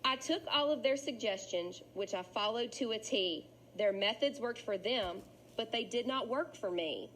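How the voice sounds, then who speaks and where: A woman's voice: fairly high pitch, moderate pace, fairly bright tone, natural voice. Woman voicing a plaintive, sleepless storybook fish, noisy recording. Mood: discouraged. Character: restless sleepless male fish, earnest and worried.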